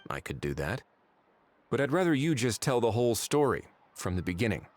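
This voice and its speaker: An actor playing a composed, politely probing government official in a video game, measured and quietly authoritative. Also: male voice, mid-range voice, sing-song, slight background noise, close to an everyday voice. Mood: cool, polite. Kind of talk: voice-acted game dialogue.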